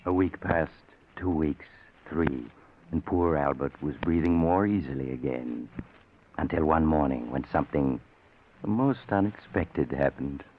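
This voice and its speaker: An actor playing a sly, darkly amused male storyteller in a radio suspense series, talking in a wry, confiding, measured way. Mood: wry, ominous. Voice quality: very deep voice. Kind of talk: 1940s radio suspense narration. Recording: hissy, tinny old radio broadcast.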